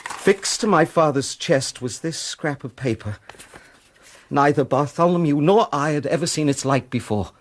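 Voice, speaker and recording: A man acting in a radio drama as a nervous, talkative gentleman, telling his tale in a formal, fussy, precise way. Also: fairly high pitch, expressive, moderate pace, slight background noise, natural voice. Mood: grave, haunted.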